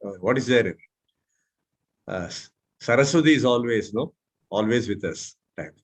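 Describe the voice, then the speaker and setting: A man with an Indian accent leading a mentoring session on public speaking, pausing and repeating words as he explains and checks with his listeners. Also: medium pitch, lively intonation. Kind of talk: interactive mentoring talk with spoken examples.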